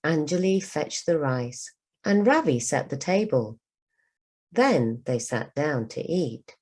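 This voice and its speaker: Slow, low-voiced woman reading a storybook. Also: very animated, darkish tone, husky. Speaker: teacher reading a storybook aloud. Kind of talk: scripted storybook narration for learners.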